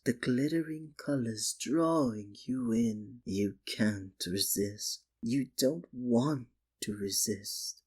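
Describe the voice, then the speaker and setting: A man playing a sinister, possessive magician in an ASMR roleplay, speaking softly and coaxingly with theatrical, condescending endearments. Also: mid-range voice, full character voice. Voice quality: soft, breathy. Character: sinister, possessive male stage magician, soothing hypnotist. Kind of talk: ASMR roleplay, scripted dramatic monologue.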